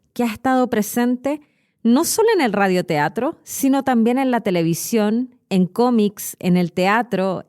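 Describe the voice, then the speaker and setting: A woman's voice: medium pitch, darkish tone. A woman with a Chilean accent hosting a language podcast, narrating scripted educational stories and addressing the listener directly. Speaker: podcast host and Spanish teacher. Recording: music behind the voice.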